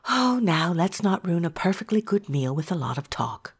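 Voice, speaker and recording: A woman playing a kindly, hospitable country mother in an amateur audio drama, gentle and placating, with a husky voice. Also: on the low side, sing-song, normal speed.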